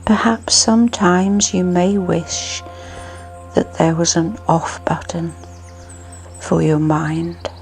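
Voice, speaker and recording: Really slow woman doing a sleep meditation, lowish voice, soothing and hushed, music playing behind her. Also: flat delivery, gravelly. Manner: slow, soothing, hushed delivery with long pauses. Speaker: guided meditation narrator. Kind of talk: guided sleep meditation, scripted narration.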